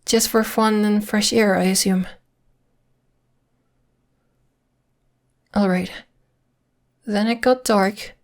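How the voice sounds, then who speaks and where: A woman playing a secretive, polite and protective young werewolf in an ASMR roleplay, speaking in a measured, hesitant, matter-of-fact way. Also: mid-range voice, steady tone, lightly acted. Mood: calm, questioning.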